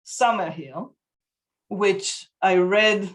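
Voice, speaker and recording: A woman's voice: medium pitch, very slow. A woman in her fifties with an Israeli accent, a guest on a video call from her home, searching for words as she talks. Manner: thoughtful, searches for words, laughs easily. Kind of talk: casual interview. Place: home room with bookshelves. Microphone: video call laptop mic.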